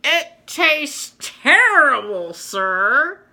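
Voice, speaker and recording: A woman acts out a naive, polite young girl in a storybook. She sounds earnest and deferential, bright and lifted in pitch, speaking unhurriedly. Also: very animated, extremely slow, exaggerated voice. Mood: disgusted.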